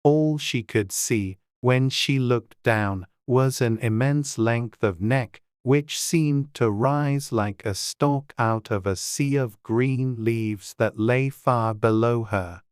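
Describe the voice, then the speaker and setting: An audiobook narrator reading a classic children's story in a measured, gentle way. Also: medium pitch, smooth, dry recording, clean studio sound.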